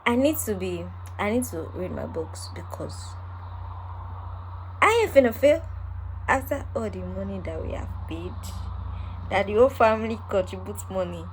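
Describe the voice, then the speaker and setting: A young woman chats to her camera in a loose, rambling way, joking about herself. Her voice sits at a middle pitch. A faint hiss runs under it. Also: rough recording. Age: young adult. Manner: playful, rambling and self-mocking. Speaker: vlogger. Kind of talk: casual monologue to camera. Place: her room in the evening.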